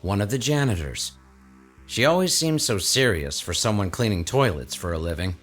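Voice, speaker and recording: Low-voiced man narrating a horror drama. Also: expressive, moderate pace, a bit bright. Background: music under the voice.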